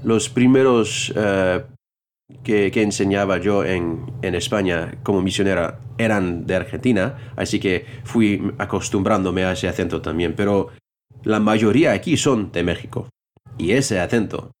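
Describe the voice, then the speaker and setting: A man's voice: sits low, measured pace, a little hiss or hum. A man in a casual chat, talking hesitantly, often restarting and searching for words. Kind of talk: casual conversation.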